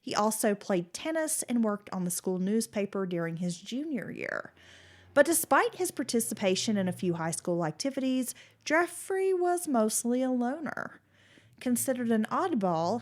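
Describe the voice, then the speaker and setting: A woman's voice: on the high side, big pitch swings, measured pace. A woman co-hosting a true-crime podcast, sounding nasal and congested with a cold. She moves between reading case details and easy, laughing banter. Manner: reads case details, then chats and laughs. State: stuffy nose from a cold. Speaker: podcast co-host.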